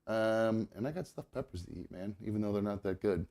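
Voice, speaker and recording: Young male host, lowish voice, stuffed-up and gravelly, rambling casual chat full of fillers. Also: brisk, dark tone. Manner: rambling, many fillers and restarts. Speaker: podcast host. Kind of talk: casual live show chat with viewers.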